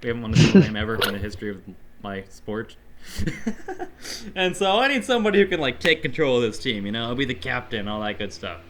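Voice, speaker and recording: A young man joking his way through improvised party game pitches with lots of fillers. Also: fast. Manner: lively, joking, full of fillers.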